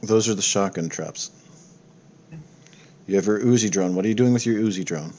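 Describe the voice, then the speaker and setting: A man with a lowish voice serves as game master. He narrates a tabletop roleplaying game and checks what the players want to do. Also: moderate pace, warm tone.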